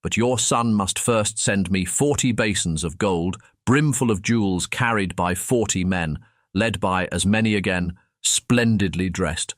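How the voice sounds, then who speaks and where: A man voicing a stately, imperious Sultan with formal, deliberate speech in a fairy tale audiobook. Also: low voice, no room sound, studio quality. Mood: stately, demanding.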